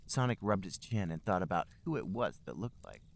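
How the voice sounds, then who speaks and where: A man's voice: low-pitched, very animated, brisk, vocal fry, no echo. A man co-hosting a podcast, narrating amateur fan fiction aloud.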